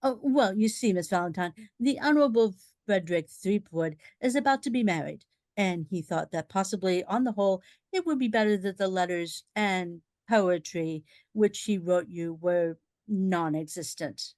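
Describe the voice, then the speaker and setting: Woman acting shifty gentleman, oily politeness, formal phrasing, radio play, medium pitch, close dry recording. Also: moderate pace, few pauses, dry recording, close to an everyday voice. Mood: ingratiating. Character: shifty, ingratiating gentleman fixer running a delicate errand.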